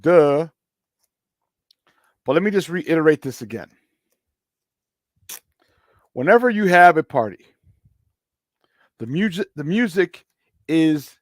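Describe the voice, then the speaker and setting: A man hosting a casual morning sports talk show, his voice a little hoarse, repeating words for emphasis and laughing often. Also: a little high, no room sound, clean studio sound. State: slightly hoarse. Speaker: sports talk radio host.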